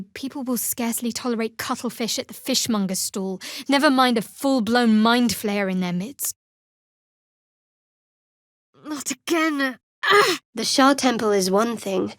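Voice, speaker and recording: A woman voicing a guarded, wry young female cleric of a dark goddess in a fantasy video game, with a dry, measured delivery. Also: fairly high pitch, no room sound, lightly acted. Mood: urgent, forceful.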